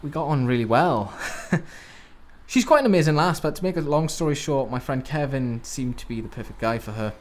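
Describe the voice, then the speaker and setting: An actor playing a troubled, drifting man in a radio drama, a wry and self-deprecating romantic with a halting delivery. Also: mid-range voice, animated, lightly acted. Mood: wistful, resigned.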